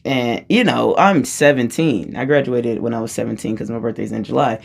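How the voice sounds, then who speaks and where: A woman with a low-register voice telling a personal story in a casual, rambling way, often breaking off and restarting her sentences and laughing as she talks. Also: normal speed, vocal fry. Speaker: vlogger.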